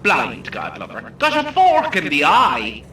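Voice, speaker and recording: Bright, echoing Irish tour guide. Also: female voice, low-pitched, wildly swooping pitch, measured pace, far from the mic, a little hiss or hum, heavily acted. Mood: ghoulishly playful. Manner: theatrical, jokey patter to a group. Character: chirpy, ghoulish female tour guide hosting a coach game. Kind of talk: audio drama acting, announcing.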